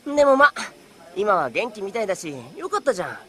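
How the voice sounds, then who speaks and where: A woman's voice: medium pitch, big pitch swings, a little fast, sharp tone. A woman voicing a cheeky, playful younger schoolboy in a Japanese audio drama, casual and teasing. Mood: cheerful, playful.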